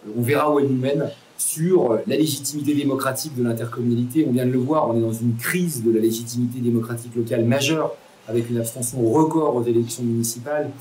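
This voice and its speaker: A man's voice: mid-range voice, warm tone. Man in a roomy, echoing space. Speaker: interviewed association president. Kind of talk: formal expert interview answers. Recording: roomy echo, faint background noise.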